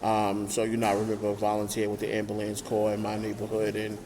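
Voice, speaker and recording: A man in his thirties with a New York accent, calling in to an interview as a guest and speaking in a relaxed way, with many fillers and restarts. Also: fairly low pitch, quick pace, noisy background. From Brooklyn, New York. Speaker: nonprofit founder, guest. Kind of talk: remote interview conversation. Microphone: remote call.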